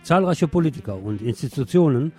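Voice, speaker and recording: A man's voice: medium pitch, lively intonation, brisk, music in the background. A man presenting a scripted radio magazine with news and commentary, phrasing things in a wry, dramatic way.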